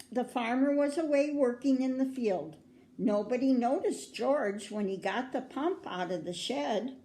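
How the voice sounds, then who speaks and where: An older woman with an American accent reads a picture book aloud to children in a grandmotherly way, pausing to put questions to her young listeners. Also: sits high, dark tone. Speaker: children's story reader. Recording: roomy, echoing indoor sound.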